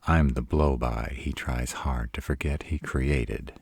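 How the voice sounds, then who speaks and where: Man playing a very deep, sarcastic vampire. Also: steady tone, slow, gravelly, husky, no room sound, exaggerated voice. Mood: bitter, sardonic. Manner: sardonic, dry, rhetorical questioning. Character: sarcastic, proud city-bred male vampire. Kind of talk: scripted audio roleplay, addressed to listener.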